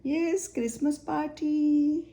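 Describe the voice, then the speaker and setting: A woman's voice: high-pitched, steady tone, very slow, mellow. A woman reading a children's search-and-find picture book aloud, naming items one by one and asking simple questions.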